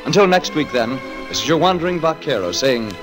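A man's voice: medium pitch, sing-song, a little fast, close to an everyday voice. Male actor for wandering vaquero narrator, romantic and dramatic, 1940s radio western, swooping delivery over music. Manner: theatrical, dramatic.

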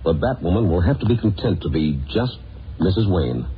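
An actor playing a stern, commanding masked crime fighter and husband in a superhero audio drama, speaking firmly and declaiming. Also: male voice, low voice, sing-song, brisk. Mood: grave. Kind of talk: old-time superhero audio drama acting. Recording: tinny band-limited, noisy old audio.

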